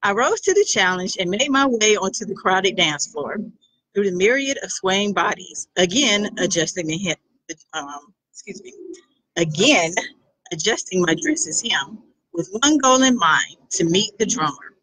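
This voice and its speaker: A woman author reading a first-person chapter of her own novel aloud, expressive and animated. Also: medium pitch, measured pace, poor audio.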